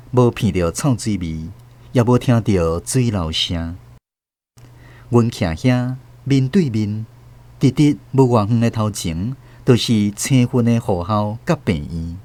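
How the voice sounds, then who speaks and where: A man with a Taiwanese accent reading literary narration for a radio drama, in an expressive storytelling manner. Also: fairly low pitch, measured pace.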